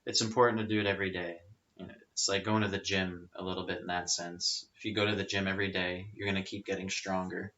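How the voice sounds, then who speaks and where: A man chatting casually as a podcast guest, explaining things in a relaxed way with frequent fillers. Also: deep voice, fast talker. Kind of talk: casual chat. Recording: rough lo-fi audio.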